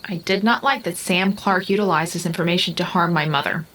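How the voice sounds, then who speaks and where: Woman acting a precise, deadpan artificial being, noisy background. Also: lowish voice, brisk, lightly acted. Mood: calm, detached. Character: formal, literal, unemotional artificial female being. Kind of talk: audio drama acting.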